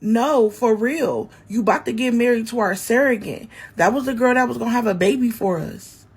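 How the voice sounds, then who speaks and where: A woman's voice: fairly high pitch, moderate pace, slightly rough. A woman voicing a pleading, bossy jilted wife with an emphatic, confrontational, colloquial delivery in a dramatic story. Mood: insistent.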